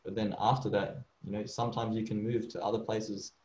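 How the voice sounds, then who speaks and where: A man's voice: fairly low pitch, little pitch movement, a little fast, darkish tone, a little hiss or hum. A man working as a migration agent, walking through visa rules in a casual way, often saying "okay" and "um" and restarting his sentences. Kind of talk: explaining visa rules from slides. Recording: echoey room, slightly dull sound.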